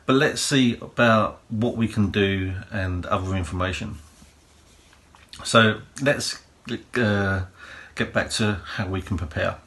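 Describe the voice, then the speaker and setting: A man with a slightly low, croaky voice talks through practical preparation tips in a loose, rambling way. He hosts his own prepping channel, and his casual delivery leans on fillers and "you know" as he explains. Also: slow pace, a bit bright. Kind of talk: casual advice monologue.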